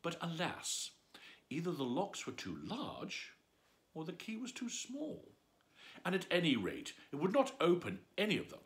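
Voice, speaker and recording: A man's voice: fairly high pitch, wildly swooping pitch, unhurried, a bit bright. A man reading a bedtime story aloud, playful and adding asides to the listener.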